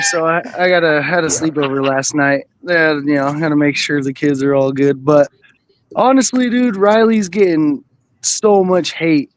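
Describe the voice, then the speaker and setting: A man's voice: high-pitched, no room sound. A man on a casual sports talk panel, chatting loosely with slang.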